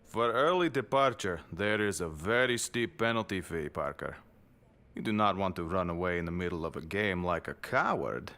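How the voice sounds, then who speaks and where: An actor playing a proud, easily insulted gambler and crime boss in an audio spy game, speaking. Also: male voice, low-pitched, lively intonation, full character voice. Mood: cold menace. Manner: slow, forceful, threatening delivery.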